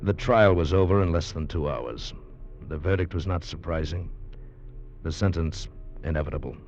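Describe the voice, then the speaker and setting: Deep, grave convict storyteller. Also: male voice, a little mellow. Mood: grave, dramatic. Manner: grave, deliberate dramatic storytelling. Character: loyal, earnest male convict narrating his friend's doom. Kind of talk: 1940s-50s American radio drama acting. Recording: dull vintage radio recording with music.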